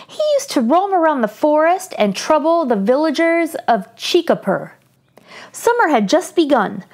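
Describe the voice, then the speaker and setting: A woman storyteller reading a children's book aloud in an expressive, theatrical, deliberate way. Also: sits high.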